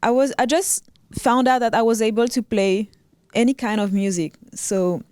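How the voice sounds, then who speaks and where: Smooth-voiced French-accented woman. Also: a little high, fairly even intonation, normal speed, no echo, clean studio sound. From Reunion Island, raised in Paris. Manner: soft-spoken, many ums and restarts. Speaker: guest violinist. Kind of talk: casual interview chat.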